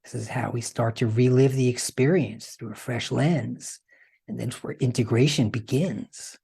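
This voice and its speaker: A man's voice: mid-range voice, steady tone, normal speed, vocal fry, dark tone, breathy edge. A man hosting an informal explanatory presentation, conversational and often checking in with the listener through rhetorical questions. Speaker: host and presenter.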